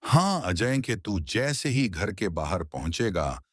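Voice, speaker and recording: A man voicing a brazen, gruff village ghost in a cartoon, with a brusque way of talking. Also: on the low side, sing-song, slow pace, exaggerated voice.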